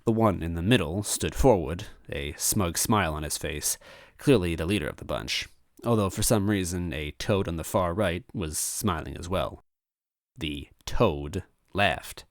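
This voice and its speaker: A man reading a first-person story aloud as an animated narrator. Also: low-pitched, measured pace, dry recording, studio quality. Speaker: story reader.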